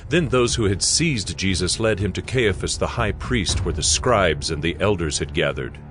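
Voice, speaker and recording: A man with a very deep voice narrates scripture in a solemn, scripted manner. Loud dramatic music plays underneath him. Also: expressive, moderate pace.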